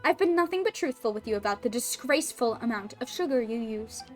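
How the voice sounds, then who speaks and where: Woman voicing a female head chef, French accent, measured, teasing, high-pitched, faint music behind. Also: expressive, lightly acted. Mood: cool. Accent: French, put on. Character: composed female head chef, cool and dry.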